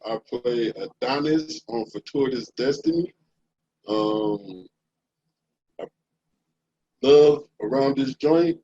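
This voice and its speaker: Male voice actor, smooth and dark-toned, casual delivery, dull video-call sound. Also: mid-range voice. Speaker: aspiring voice actor. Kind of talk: casual chat.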